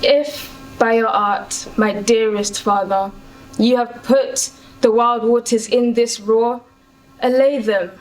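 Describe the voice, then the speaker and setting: A woman's voice: a little high, fairly even intonation, takes it slowly, rough recording. A woman performing a distressed, compassionate young daughter pleading with her father in a Shakespearean monologue. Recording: faint background noise.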